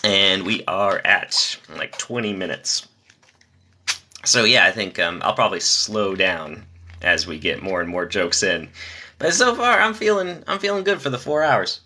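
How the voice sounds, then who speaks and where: A young man reading joke after joke on a live stream, pitching his voice low from the diaphragm to spare his nasal voice. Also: noisy background. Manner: rapid-fire joke delivery, lively.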